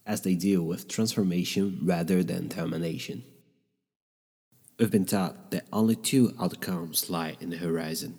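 A man whose first language is Portuguese, hosting his own podcast from his bedroom with a reflective, measured scripted narration. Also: low voice, vocal fry, dark tone, husky, in a large hall. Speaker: podcast host. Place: bedroom in a noisy neighborhood.

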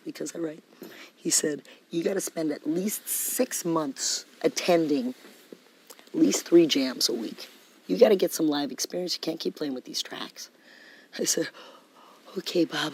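A woman musician, the guest, telling stories in a casual interview, animated and laughing, often saying "man". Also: low voice, a little hiss or hum, low-quality recording.

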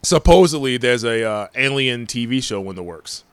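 A man's voice: medium pitch, lively intonation, normal speed, smooth, no echo. A man in his forties hosting a casual podcast chat, rambling through news items with lots of hesitant ums. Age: 40s. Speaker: podcast host.

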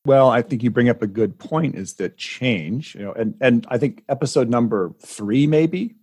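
Male podcast co-host, husky mid-range voice, reflective, dry booth sound. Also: mid-range voice, normal speed, a little mellow, dry recording. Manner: reflective, repeats words when thinking, laughs often. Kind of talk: casual chat.

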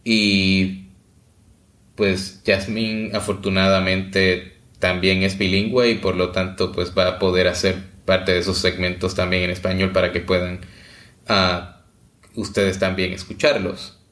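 A man co-hosting a podcast, talking casually with many pauses, fillers and restarts. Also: deep voice, little pitch movement, fairly bright tone, roomy sound. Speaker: podcast co-host.

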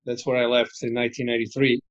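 Man, forties, from Montenegro; halting delivery full of fillers, dull lo-fi call sound. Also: mid-range voice, monotone. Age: 40s. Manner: halting, many fillers and restarts. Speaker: basketball club figure, former player. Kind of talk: remote interview.

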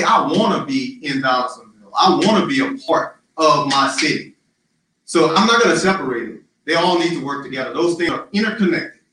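A man running for city council, answering interview questions in a loud, emphatic, rallying way with lots of repetition. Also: on the high side. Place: live-streamed social media forum. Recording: very echoey, distant mic.

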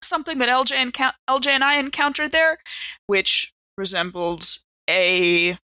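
A woman playing a tabletop roleplaying game, improvising dialogue in a halting, deliberate way. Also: high voice, pushed and bright, no room sound.

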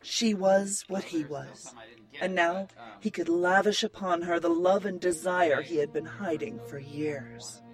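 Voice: medium pitch, a little slow, faint music behind, close to an everyday voice. A performer playing a solemn audiobook narrator, reading a vampire romance in dramatic scripted style.

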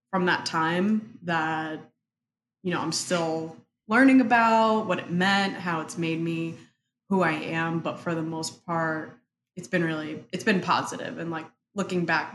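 A young adult woman, a native Spanish speaker, reflecting thoughtfully in an interview and talking in halting phrases full of fillers. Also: lowish voice, fairly bright tone, slight background noise. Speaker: interview guest. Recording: echoey room, faint ambience.

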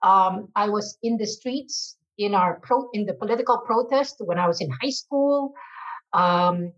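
A woman's voice: medium pitch, unhurried. A middle-aged woman from the Philippines talks through her career in an earnest, emphatic way. She leans on fillers as she gathers her thoughts. The audio is a little dull. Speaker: guest, marketing executive. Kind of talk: reflective interview answers.

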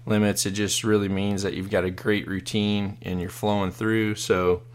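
Brief: hoarse, fairly low-voiced male podcast host, loose rambling chat full of fillers. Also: little pitch movement, moderate pace. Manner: rambling, many fillers and restarts. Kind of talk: casual live podcast chat.

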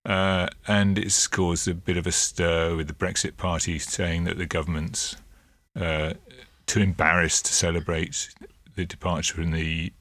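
A man co-hosting a political podcast, explaining in a measured, hesitant way with many fillers and sounding a little under the weather. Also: sits low, flat delivery, fairly bright tone, no echo. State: a bit ill. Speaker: co-host and political editor. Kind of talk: casual political podcast chat.

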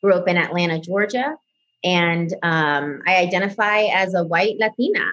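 Young woman, slightly low, muffled line. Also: normal speed, fairly bright tone. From Mexico, raised in the US. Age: 20s. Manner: friendly, filler-heavy, uses "right?". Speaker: guest consultant. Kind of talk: casual panel conversation.

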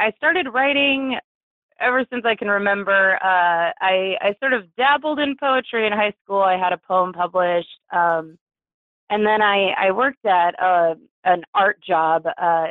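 Through a tinny, phone-like radio sound with very little room to it, an American guest in their thirties talks candidly. The voice is mid-pitched, and the delivery is hesitant, personal storytelling. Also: no echo. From New Mexico, United States. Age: 30s. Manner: hesitant, chuckles through hard subjects. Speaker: interview guest, poet.

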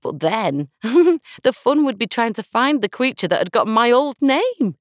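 A woman's voice: on the high side, sing-song, measured pace, dry recording, studio quality. A woman voicing a whimsical, giggly storybook little girl rambling to herself. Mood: amused, rambling.

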